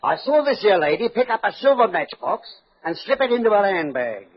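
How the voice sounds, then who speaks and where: An actor playing a dogged, plain-spoken shop detective in a 1940s radio drama. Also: male voice, sits high, sing-song, fairly quick, exaggerated voice. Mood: firm, deferential. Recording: husky, muffled old radio recording.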